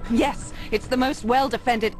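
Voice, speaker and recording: Woman voicing a skeptical game heroine, sardonic and defiant, highish, music in back. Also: fairly even intonation, a little slow, lightly acted. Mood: mocking, skeptical. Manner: sardonic, challenging. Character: wry, skeptical, defiant young woman captive. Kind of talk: video game cutscene voice acting. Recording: music behind the voice.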